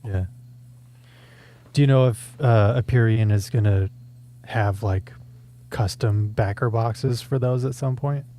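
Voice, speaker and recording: A man's voice: on the low side, slow, long pauses, mellow. A man co-hosting a show, asking questions and chatting casually in an interview.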